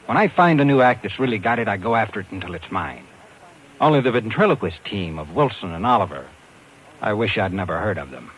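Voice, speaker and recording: A man's voice: on the low side, lively intonation, fairly quick, fairly bright tone, slight background noise. An actor playing a self-assured American booking agent who confides his regretful tale in a 1950s radio suspense drama. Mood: self-assured, confiding. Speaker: actor in lead role. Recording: dull 1950s radio recording, music behind.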